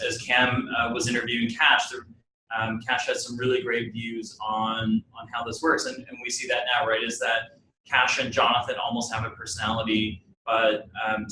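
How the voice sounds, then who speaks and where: A man's voice: medium pitch, sharp tone. Male host, upbeat and effusive, recorded in a roomy space. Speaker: webinar host. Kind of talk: hosting a webinar interview. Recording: roomy with faint background noise.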